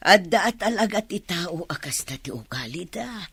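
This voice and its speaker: A woman with an Ilocano accent playing a consoling, pious village wife in a radio comedy drama, with a husky voice. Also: fairly low pitch, expressive, moderate pace, fairly bright tone, no room sound, heavily acted.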